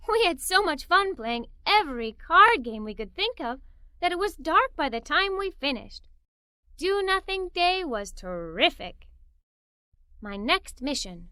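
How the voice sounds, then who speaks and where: A woman reading a children's story aloud in the first person, with animated, expressive delivery. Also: high-pitched, no room sound. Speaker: storybook narrator.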